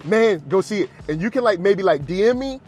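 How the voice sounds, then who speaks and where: A man chatting casually and excitably as a host giving an unscripted film reaction, playful and fond of repeating phrases for emphasis. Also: sits high, a little fast. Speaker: film reviewer and channel host.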